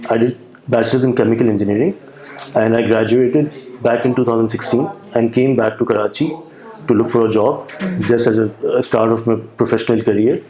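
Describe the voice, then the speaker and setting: A young man with a Pakistani accent, answering interview questions with a hesitant manner, full of pauses and restarts. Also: mid-range voice, fairly even intonation, warm tone, roomy sound, low-quality recording. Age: young adult. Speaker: guest entrepreneur.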